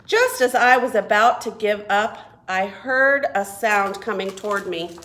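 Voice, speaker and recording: A woman reading a picture book aloud to young children as a children's librarian, expressive and deliberate. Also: medium pitch, a little hiss or hum. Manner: expressive, deliberate, asks listeners questions. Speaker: children's librarian and storytime reader.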